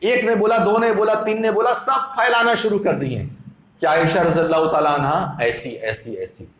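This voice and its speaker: Man, Islamic sermon, fairly high voice, didactic repetition, strong room echo. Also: normal speed, fairly bright tone. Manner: emphatic, didactic, repeating phrases for effect. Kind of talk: Islamic sermon with Quran quotations. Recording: echoey room sound.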